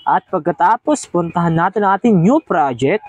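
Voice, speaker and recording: Guy walking thru a tutorial, high lively voice, chatty, repeats himself, dry close sound. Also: normal speed, dry recording, dull audio. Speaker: host of video editing tutorial. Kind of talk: casual step-by-step tutorial narration.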